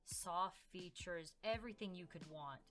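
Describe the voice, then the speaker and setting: Lower-voiced woman podcaster, casual storyteller. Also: normal speed, a bit bright. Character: fey demon woman gossiping about humans. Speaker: podcast co-host. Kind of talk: casual in-character chat, telling family stories.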